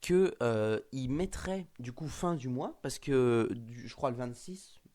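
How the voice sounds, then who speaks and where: Male host, mid pitch, slightly congested, casual and hesitant. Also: lively intonation, unhurried, a little mellow. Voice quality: hesitant, many fillers and restarts. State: has a cold. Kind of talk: casual fan discussion.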